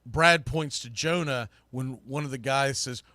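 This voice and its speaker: A man's voice: medium pitch, a little slow, sharp tone, no room sound. A man hosting a live sports talk podcast, speaking casually and emphatically with informal, opinionated, mildly salty phrasing.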